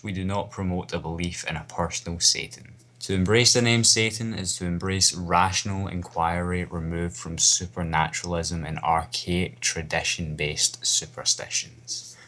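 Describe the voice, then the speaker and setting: Man, very deep and bright-toned, steady careful read. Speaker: guest reader. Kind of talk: reading a text aloud.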